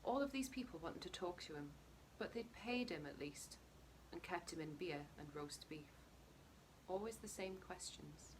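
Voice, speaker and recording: A woman narrating a short story expressively, her phrases sometimes dropping to a hush. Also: lowish voice, expressive, unhurried, a little hiss or hum, low-quality recording. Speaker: story reader. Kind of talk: narrated short fiction reading.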